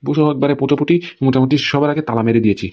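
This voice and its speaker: A man's voice: medium pitch, steady tone, rapid-fire, darkish tone, natural voice. A man playing an aggrieved, scheming husband and company boss in a comic cartoon, speaking emphatically and checking that he is understood. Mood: scheming, self-assured.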